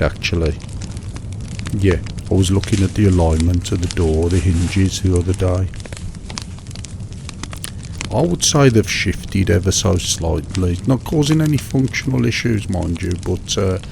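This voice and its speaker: British man, very low gravelly voice, monotonous bedtime rambling, hesitant, repetitive, soothing. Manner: mundane, hesitant, rambling, soothing, repeats himself. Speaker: host of a sleep relaxation show. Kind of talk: monotonous one-sided phone chat for falling asleep. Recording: steady background noise, fireside crackle.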